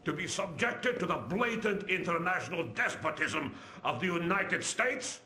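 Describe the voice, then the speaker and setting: A defiant head of state in a game cutscene. His oratory is fairly high and forceful, ringing in a roomy echo. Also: very animated, moderate pace, heavily acted. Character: defiant, forceful male foreign leader giving a broadcast speech. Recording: echoey broadcast with faint noise.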